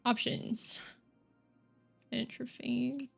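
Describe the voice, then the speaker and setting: A young woman streamer from Sweden, talking slowly in a slightly high voice while she rambles through her choices and restarts her sentences. Soft music sits faintly behind her. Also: little pitch movement, vocal fry, husky. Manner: rambling, hesitant, self-correcting, giggly. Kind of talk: casual gameplay chat.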